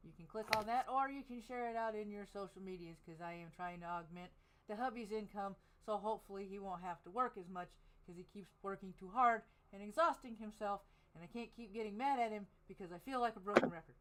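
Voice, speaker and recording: A woman's voice: mid-range voice, fast talker, noisy background. A woman hosting a podcast in casual chat, talking in a chatty, rambling way. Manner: chatty, rambling, laughs easily. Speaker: podcast host.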